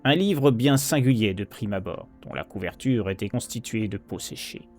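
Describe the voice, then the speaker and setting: Man voicing a deep, solemn, haunted horror narrator. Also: lively intonation, normal speed, darkish tone, lightly acted. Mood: brooding dread. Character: anguished, guilt-ridden male heir turned vengeful horror narrator. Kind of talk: dramatic horror poem narration. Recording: narration over prominent background music.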